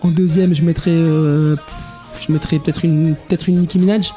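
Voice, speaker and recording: Young male DJ guest, twenties, casual and halting, faint music in the background. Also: on the high side, level tone, dark tone. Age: 20s. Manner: hesitant, many restarts and fillers. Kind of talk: casual interview chat.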